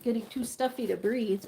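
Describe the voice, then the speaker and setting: A woman's voice: a little high, steady tone, unhurried, creaky, noisy background. A woman with a congested cold hosting a bedtime story reading, with giggly, chatty asides. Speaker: storytime host reading aloud.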